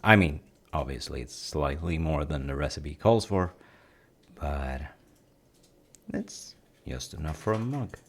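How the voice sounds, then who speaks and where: A man playing a cozy, playful, reassuring dad in an intimate audio roleplay, talking softly and conversationally to the listener with gentle teasing. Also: extremely low pitch, lively intonation, unhurried, slightly rough, exaggerated voice. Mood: cozy, musing. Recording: noticeable kitchen noise of sizzling and stirring. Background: frying sizzle and kitchen sounds.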